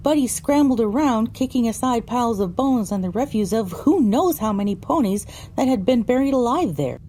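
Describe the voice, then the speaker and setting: A woman's voice: fairly high pitch, animated, moderate pace, dark tone, a little hiss or hum, natural voice. A woman narrating a first-person story for an audio drama, reading descriptively with dramatic phrasing.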